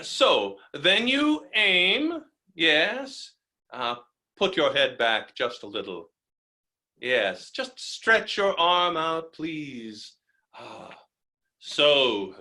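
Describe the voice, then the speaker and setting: An actor playing a hot-headed, stammering landowner who is helplessly falling in love, in a theatrical staged reading of a farce. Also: male voice, high-pitched, sharp tone, full character voice. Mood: halting, tender. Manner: theatrical, stammering, swings from halting to bellowing.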